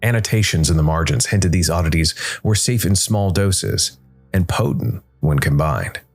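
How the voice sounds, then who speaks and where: A man narrating a scripted dark fantasy story in a measured way, with dramatic pauses. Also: low-pitched, expressive. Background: background music.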